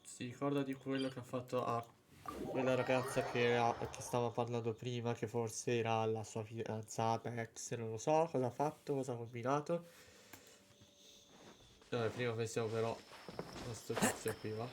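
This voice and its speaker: An Italian man streaming a game in a mid-pitched voice. He muses casually and repeats himself, with clear noise and clicks behind. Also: level tone, brisk. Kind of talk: casual live game commentary.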